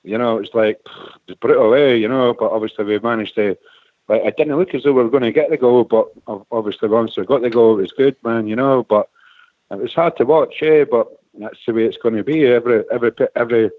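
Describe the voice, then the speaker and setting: A man's voice: mid-range voice, fast, no room sound. A man of about forty with an Edinburgh Scottish accent rambles through his call, stopping and restarting often. He comes through a tinny phone line with a dry sound. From Scotland, Edinburgh. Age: 40s. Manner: rambling, hesitant, many restarts and "eh". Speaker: caller. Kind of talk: phone-in call to a fan radio show.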